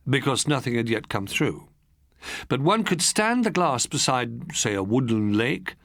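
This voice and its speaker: A male audiobook narrator reads first-person fiction in a measured, literary way. His intonation is very lively around a middling pitch, and the recording is dry and close. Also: dry recording. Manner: measured, expressive, literary delivery.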